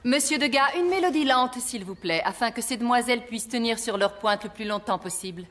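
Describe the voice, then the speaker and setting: A woman's voice: on the high side, expressive, a little fast, a bit bright, soft background music. A woman playing a strict, demanding ballet teacher in a French cartoon, giving clipped, commanding instructions. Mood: instructing.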